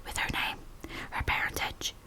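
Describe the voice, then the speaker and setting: A woman's voice: normal speed, pushed and bright. A woman voicing a proud, stern male bridegroom, whispering his curt, commanding demands.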